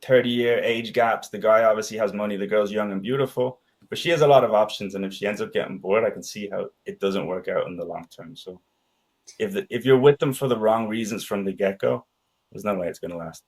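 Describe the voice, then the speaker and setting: A man's voice: lowish voice, brisk, slightly rough. A man whose first language is Danish, a guest talking casually and rambling with fillers like "right?". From Ireland and Denmark. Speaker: podcast guest, sales expert. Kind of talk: casual conversation.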